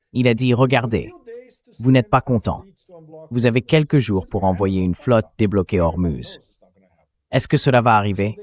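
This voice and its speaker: Male synthetic dub voice for a political analyst: fairly low, emphatic, opinionated, posing rhetorical questions. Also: darkish tone. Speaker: political analyst, interview guest. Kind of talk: opinionated political commentary, dubbed translation read by AI voice.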